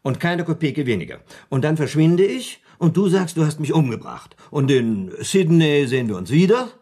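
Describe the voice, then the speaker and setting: An actor in a German radio play voicing a shrewd, haggling man, persuasive and deliberate, with a slightly husky voice. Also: a little high, big pitch swings, mellow. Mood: scheming.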